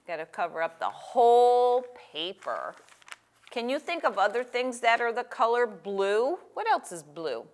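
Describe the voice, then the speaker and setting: A woman's voice: on the high side, sing-song, measured pace. An older woman hosting a children's story time and craft, speaking in simple, encouraging phrases and questions to a young child. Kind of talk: reading aloud and guiding a child through a craft. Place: small indoor room with table. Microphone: lapel.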